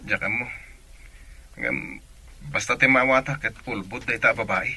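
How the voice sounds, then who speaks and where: Young sailor son, earnest, super sharp bright voice, ilocano accent, radio drama. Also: male voice, medium pitch, unhurried, slightly rough, full character voice. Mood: insistent. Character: young adult seafaring son, earnest and reassuring.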